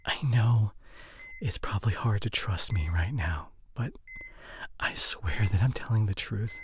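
A young man playing a remorseful drinking boyfriend in an intimate audio roleplay, speaking in a halting, apologetic, soft and pleading way. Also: lowish voice, a little hiss or hum, close to an everyday voice. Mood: contrite, confessing.

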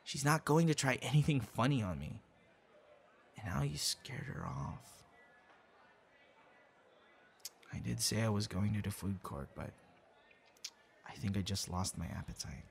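A man's voice: on the low side, expressive, moderate pace, vocal fry. A man acting a shy, kind young boyfriend in an ASMR roleplay, speaking conversationally with hesitations and stammers. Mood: annoyed, hurt.

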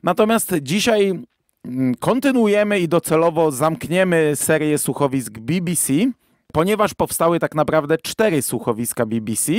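Higher-voiced male podcast host. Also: lively intonation, moderate pace, no echo, studio quality. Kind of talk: casual podcast talk.

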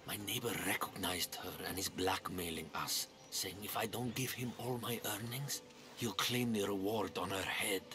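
Man playing a deep-voiced troubled townsman. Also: normal speed, lightly acted. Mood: pleading, grim. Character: troubled, scheming male ancient Greek townsman. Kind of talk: video game dialogue acting.

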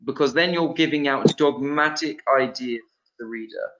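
A man's voice: medium pitch, moderate pace, fairly bright tone. A young man presenting an online teaching webinar, talking loosely with many ums and restarts, from a small room over a video call. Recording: dull lo-fi video-call sound.

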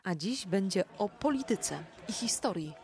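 A woman's voice: mid-range voice, animated, normal speed, a little mellow. A woman hosting a podcast, reading scripted narration. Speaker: podcast host.

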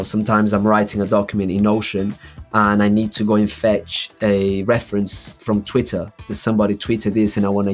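Upbeat man hosting a video-call interview. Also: lowish voice. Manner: upbeat, explanatory, talks with his hands. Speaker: company host interviewing a user. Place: home office.

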